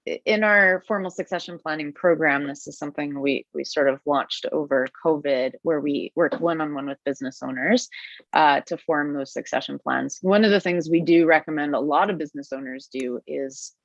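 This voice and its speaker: Low, gravelly woman hosting a webinar. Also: normal speed, dry recording, muffled recording. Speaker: host. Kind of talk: webinar interview. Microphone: video call.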